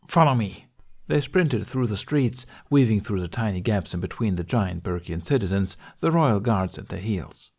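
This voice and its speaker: A man narrates a story in an expressive, scripted style. His voice sits on the low side, suited to an amateur audio fiction reading. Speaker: podfic narrator.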